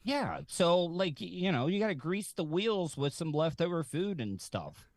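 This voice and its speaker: A man telling casual comic anecdotes as a talk show guest, in a halting, rambling way. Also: on the high side, big pitch swings, dry recording, studio quality.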